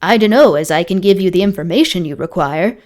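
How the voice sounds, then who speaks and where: A woman's voice: on the low side, expressive, slow pace, darkish tone. A woman voicing an irritable rustic English man at a pub in a novel, folksy and moralizing. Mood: irritated.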